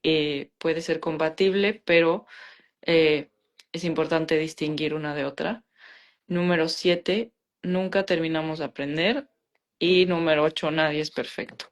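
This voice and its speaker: A woman with a somewhat low voice co-hosts a casual live chat. She talks conversationally, pausing on fillers and ending points with little tag questions. Her voice comes through a video call. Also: fairly even intonation. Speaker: co-host of a live talk show.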